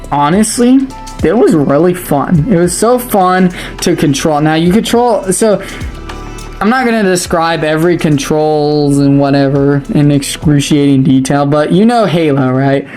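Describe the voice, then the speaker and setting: A gaming creator whose voice is a little raspy from hours of streaming. They chatter eagerly and ramble, restart sentences, and circle back to their point. Soft ambient music plays faintly underneath. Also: mid-range voice. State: slightly raspy after a long stream. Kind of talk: casual game commentary.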